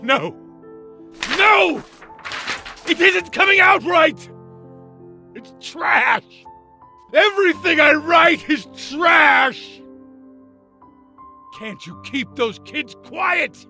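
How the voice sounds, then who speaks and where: Man acting furious high-pitched frustrated father. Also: lively intonation, extremely slow, gravelly, pauses often, bright, forceful tone, soft background music, heavily acted. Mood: frustrated rage. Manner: explosive, ranting. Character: volatile, bitter, frustrated father and failed writer. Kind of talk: melodramatic comic dub acting.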